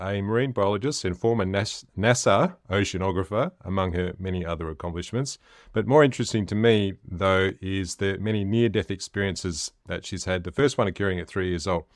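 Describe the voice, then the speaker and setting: A man with a very low voice hosts an interview show, friendly and a little rambling as he sets up questions. The recording is dry with no room sound. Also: moderate pace, dry recording. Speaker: podcast host. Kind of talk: casual interview chat.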